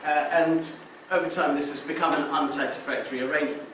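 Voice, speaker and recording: Man, fairly high voice, conference talk, very echoey hall, distant mic, faint hiss. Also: steady tone, fast. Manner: many restarts and filler sounds, explanatory. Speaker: conference speaker. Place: lecture room.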